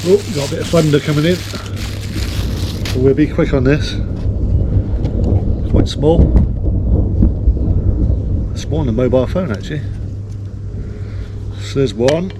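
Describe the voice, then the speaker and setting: A man's voice: a little high, a little slow, pauses often, noisy background. A man giving a casual, informal unboxing commentary in an off-the-cuff way. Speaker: video host reviewing a product.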